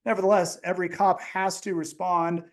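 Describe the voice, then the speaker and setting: A man hosting a podcast, making his case in a reasoned, measured way with casual swearing. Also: sits high, little pitch movement. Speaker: podcast host. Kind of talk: conversational interview.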